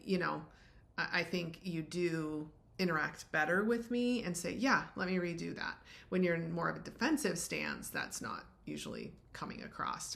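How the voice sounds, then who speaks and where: A middle-aged woman co-hosting a podcast, talking in a reflective, conversational way and often affirming with soft murmurs. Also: lowish voice, creaky. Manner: reflective, many affirming mm-hmms. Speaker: podcast co-host.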